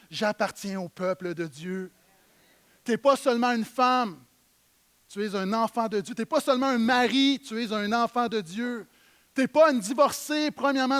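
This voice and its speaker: A man with a Québécois French accent preaching a sermon in church, emphatic, swinging between loud stresses and quiet low lines. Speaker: pastor.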